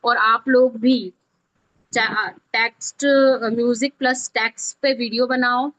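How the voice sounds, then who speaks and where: A woman's voice: on the high side, fairly even intonation, a little slow, a bit bright, no echo. A woman instructor giving an informal live online tutorial, explaining step by step and often checking that learners follow. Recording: dull lo-fi online call audio.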